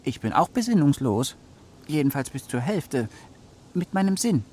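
A man's voice: on the high side, big pitch swings, moderate pace, mellow, slight background noise. An actor playing a wily talking fox, proud of his keen nose, terse and self-assured, in a children's radio play. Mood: dry. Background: plucked string music.

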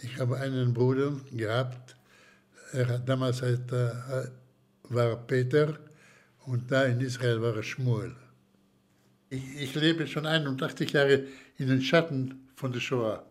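An older man from Slovakia, giving slow, hesitant testimony in an interview with many pauses and restarts. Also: medium pitch, steady tone. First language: German as childhood language, long unused.

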